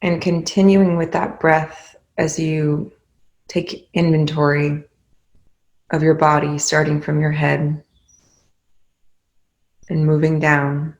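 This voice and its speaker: Slow, even-toned meditation guide. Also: medium pitch, poor audio. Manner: gentle instructional phrasing with pauses.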